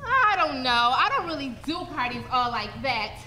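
A woman's voice: high voice, big pitch swings, moderate pace, sharp tone, full character voice. A young woman playing a proud, sassy college dancer in a live stage play, with a teasing delivery. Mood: coy, polite. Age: young adult. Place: large theater stage. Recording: echoey noisy auditorium.

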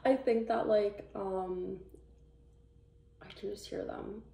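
A woman's voice: mid-range voice, steady tone, slow, creaky, dark tone. A young woman from Canada chatting casually through a product review, rambling with many likes and ums, recorded on a camera a few feet away in a small plain room. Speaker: vlogger. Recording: roomy, distant camera sound.

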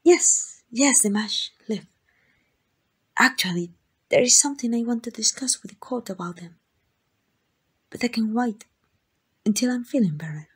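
A woman with a Mexican accent playing a tender, devoted queen in a romantic ASMR roleplay, speaking in gentle, intimate, breathy whispers. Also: fairly high pitch, animated, normal speed, long pauses. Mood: nervous, formal. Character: tender, devoted queen in secret love with a commoner.

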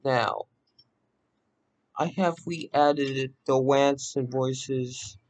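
A man presenting a software demo in a casual walkthrough, pausing haltingly between phrases. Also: mid-range voice, steady tone. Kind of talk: casual screen-recording walkthrough. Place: voice-over on a screen recording.

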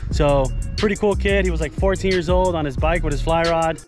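A man in his thirties vlogging while fly fishing outdoors by a lake, recorded on an action camera, talking casually and getting excited when fish show up. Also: fairly high pitch, brisk, music in the background. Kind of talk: casual vlog narration.

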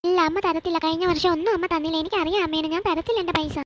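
A performer voicing a lively little boy in a cartoon skit, pleading and stubborn in a whiny, childlike way. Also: very high voice, racing, highs cut off, slight background noise.

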